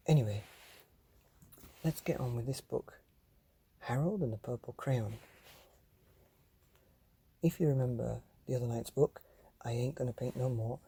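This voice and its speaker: A man reading a children's bedtime story as a podcast host, speaking straight to young listeners in drawn-out, dramatic phrases. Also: medium pitch, gravelly, warm tone, breathy edge.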